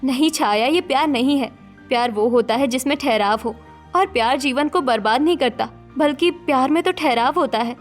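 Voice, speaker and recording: A woman's voice: sits high, moderate pace, soft background music, lightly acted. A woman voicing a sensible, protective young married elder sister in a cartoon story, firm and admonishing. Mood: firm, advising. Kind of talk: Hindi cartoon story dialogue acting.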